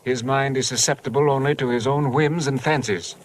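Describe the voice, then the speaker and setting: A man's voice: mid-range voice, fairly even intonation, fairly quick, close to an everyday voice. A man playing an earnest, rational doctor with a precise, formal way of speaking in an old radio drama. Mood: indignant. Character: earnest, rational male doctor, worried about brother. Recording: old radio recording, faint noise.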